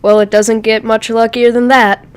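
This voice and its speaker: A woman's voice: on the high side, level tone, normal speed, smooth, lightly acted. Woman as an eager young superheroine. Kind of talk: amateur audio drama acting.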